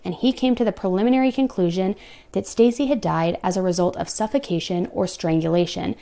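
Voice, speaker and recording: A woman's voice: lowish voice, dark tone. Blunt young woman telling a true crime case. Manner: blunt, exasperated asides to viewers. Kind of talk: true crime storytelling with commentary.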